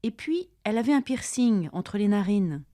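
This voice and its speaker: A woman reading her part of a scripted dialogue for language learners, speaking carefully and deliberately, each line said twice. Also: medium pitch, animated, dark tone, no room sound, clean studio sound. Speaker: language-lesson dialogue reader.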